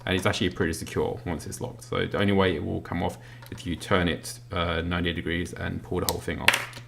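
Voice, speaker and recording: A British man casually walking through an unboxing, talking as he handles the items with lots of ums and pauses. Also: sits low, creaky, noisy background. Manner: relaxed, filler-heavy, talks while handling items. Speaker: product reviewer.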